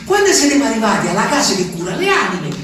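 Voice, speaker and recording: A man's voice: sits high, sing-song, fairly bright tone. Haunted peasant man, echoing monologue. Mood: anguished, harsh. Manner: halting, emphatic, repetitive storytelling with dramatic swells. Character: simple, haunted Abruzzese peasant man recalling his abandoned wife. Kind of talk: theatrical monologue for radio drama. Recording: very echoey, distant stage sound with music.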